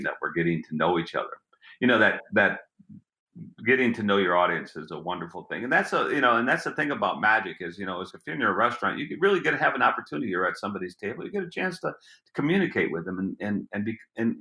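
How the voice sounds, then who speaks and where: A middle-aged man with a gruff voice, a street magician answering interview questions in a rambling way, often repeating words as he thinks. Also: sits low, sharp tone, echoey room.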